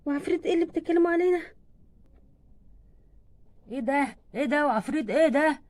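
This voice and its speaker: Woman playing an exasperated, high-voiced Egyptian dad. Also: lively intonation, fast talker, a little mellow. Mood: angry. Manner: nagging, scolding, repetitive. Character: stern, exasperated father of young daughters.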